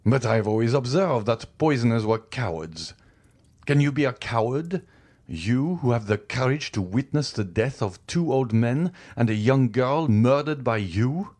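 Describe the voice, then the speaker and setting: A man's voice: mid-range voice, expressive, a little slow, a little mellow, pitched above the performer's own voice. A man reading an audiobook, voicing a stern magistrate husband who accuses his wife with cold contempt and climbing fury. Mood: accusing. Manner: accusing, rising to furious outbursts.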